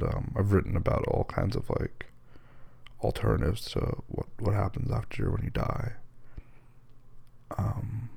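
Hoarse, gravelly low-voiced man. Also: a little mellow, no room sound. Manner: hesitant, many ums and restarts. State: losing his voice, hoarse. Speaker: creator answering listener questions. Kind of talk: casual Q&A.

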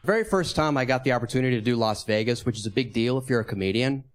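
A man's voice: mid-range voice, racing. Man in his thirties doing stand-up. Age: 30s. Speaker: stand-up comedian. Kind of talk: stand-up comedy set.

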